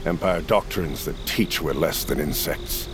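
A man playing a distrustful, hard-edged rebel crew leader in an audio drama, grim, measured and wry. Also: a bass voice, music in the background. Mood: grim, skeptical. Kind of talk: audio fiction drama acting.

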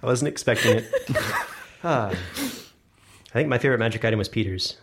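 A man's voice: mid-range voice, lively intonation, moderate pace, fairly bright tone, slight background noise. A man hosting a casual group chat in a jokey, encouraging way. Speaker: host and game master of an audio drama show.

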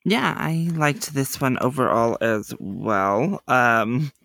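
A man's voice: mid-range voice, animated, slow, gravelly, fairly bright tone, dry recording. Guy from colorado, bouncy voice all over the place, teasing jokey podcast chat. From Colorado, USA. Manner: teasing, dry humor, laughs often. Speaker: podcast co-host. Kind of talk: casual chat recapping a sitcom.

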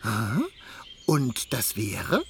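An actor playing a quirky, eager keeper of a lost-and-found office in a children's audio play, theatrical and given to humming. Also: male voice, mid-range voice, very animated, takes it slowly, slightly rough, exaggerated voice. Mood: amused, reassuring.

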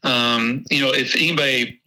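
A man with a Texas accent, a guest chatting casually about a hunting trip, laughing often and pausing with ums. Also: mid-range voice, steady tone, sharp tone, low-quality recording. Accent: Texan. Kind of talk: casual interview chat.

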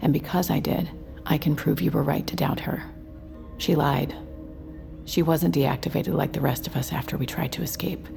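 Creaky-voiced, insistent woman researcher. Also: low voice, fairly even intonation, moderate pace, over music. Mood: conspiratorial. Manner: earnest, urgent, persuasive. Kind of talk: audio drama acting.

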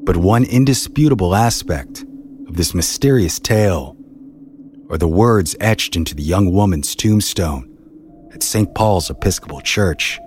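A man's voice: sits low, expressive, a little slow, background noise. A man reading scripted storytelling narration in the manner of a history documentary.

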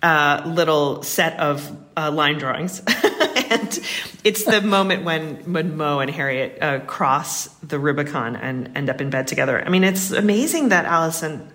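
Low-pitched, chatty American woman. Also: expressive, normal speed, a bit bright. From Western Massachusetts, United States. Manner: chatty, enthusiastic, colourful wording. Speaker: interview guest, writer. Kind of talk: animated, conversational interview answers.

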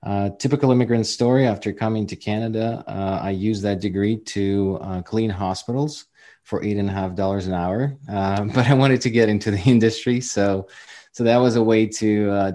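A man's voice: lowish voice, mellow. Man with a Ukrainian accent, in his forties. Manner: chatty, self-deprecating, frequent "uh". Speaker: guest entrepreneur. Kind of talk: casual interview storytelling.

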